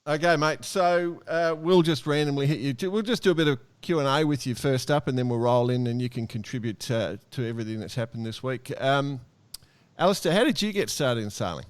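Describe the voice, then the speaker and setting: An Australian man hosts a relaxed podcast in a smooth, resonant voice. His pitch sits in the middle, and he speaks jovially, as if among friends. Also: fairly quick. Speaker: podcast host.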